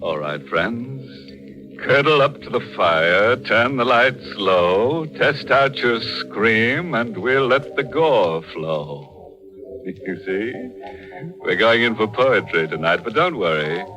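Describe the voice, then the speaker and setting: An actor playing a gleefully macabre, mock-friendly horror host on a 1940s radio show, droll and drawn-out. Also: male voice, fairly low pitch, animated. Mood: mock-sinister. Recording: old radio recording with loud music. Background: loud music.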